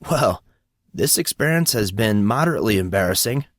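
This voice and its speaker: A man's voice: lowish voice, lively intonation, a little fast, no room sound. A man voicing a proud, dry-witted teenage schoolboy with a wry, wordy manner in an audiobook drama.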